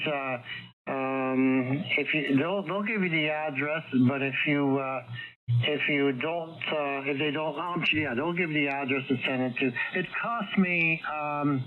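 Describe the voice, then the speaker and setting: A man talking casually over two-way radio, halting as he searches for his words. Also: a little high. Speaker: amateur radio operator. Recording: tinny, very noisy ham radio transmission.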